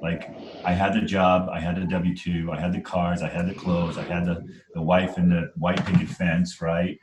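A man's voice: deep voice, level tone, moderate pace, echoey room, background noise. A man in his forties from Trinidad, telling his life story as an interview guest in an earnest, emphatic way and often checking in with "right?". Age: 40s. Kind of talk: conversational storytelling.

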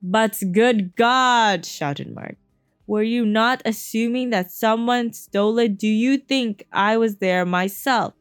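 A woman voicing an exasperated young man who protests loudly in a read-aloud novel. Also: fairly high pitch, takes it slowly, smooth, dry recording, clean studio sound, soft background music. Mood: indignant. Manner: loud, indignant.

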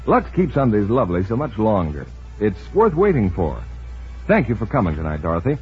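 A man announces a scripted 1940s radio advertisement with lively intonation. His voice is very husky, at a middle pitch, and the recording is dull. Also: brisk, soft background music. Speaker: announcer reading sponsor's advertisement.

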